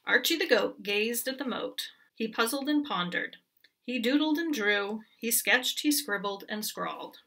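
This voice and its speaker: Lively, bright-voiced woman reading a picture book. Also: fairly high pitch, unhurried, recorded from a distance. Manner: expressive, rhythmic storytelling. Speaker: teacher reading aloud. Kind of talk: children's picture book read-aloud.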